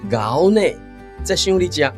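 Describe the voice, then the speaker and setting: A woman's voice: lowish voice, lively intonation, unhurried. A woman voicing a plain-spoken, strong village woman in a radio drama, talking bluntly to a child. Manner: blunt, few words, kindly to the child. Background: guitar music.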